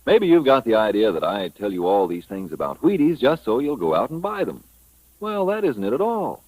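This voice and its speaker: A man's voice: medium pitch, big pitch swings, moderate pace, darkish tone, slight background noise, rough recording. An American man delivering a vintage radio sponsor spot in a folksy, confiding, chatty way. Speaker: radio advertising announcer.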